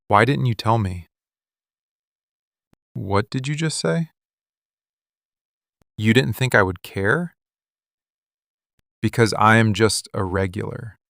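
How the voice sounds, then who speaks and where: Male actor as possessive mafia boss, audio roleplay. Very unhurried, gravel-edged, deliberate interrogator with a wounded streak. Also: on the low side, fairly bright tone, no echo, clean studio sound. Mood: intense, accusing, then bitter, wounded. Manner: deliberate, clipped, interrogating delivery. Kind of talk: boyfriend ASMR roleplay acting.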